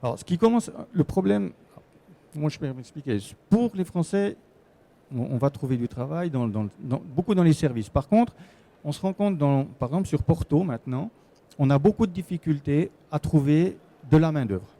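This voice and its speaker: A man's voice: a little high, lively intonation, normal speed, mellow. A man with a Swiss French accent explaining things as a guest expert in a live interview, often repeating words as he searches for the next. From Switzerland. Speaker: guest expert on relocating to Portugal.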